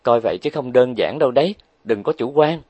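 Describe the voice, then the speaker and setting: A man's voice: mid-range voice, lively intonation, brisk, a little mellow, dry recording, lo-fi sound, lightly acted. A man voicing a reserved, earnest young woman who works as a tutor, speaking cautiously and sincerely.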